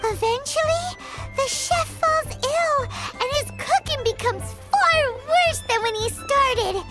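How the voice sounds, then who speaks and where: A squeaky, childlike little fairy sidekick from a video game, proud and naive. The voice sits extremely high and bounces around with great animation, taking its time over the words as loud music plays underneath. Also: female voice, animated, slow, heavily acted. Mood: earnest storytelling. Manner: refers to self in third person, exclamatory. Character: tiny childlike fairy companion, eager, proud, cheerfully naive. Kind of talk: video game character acting. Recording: music behind the voice.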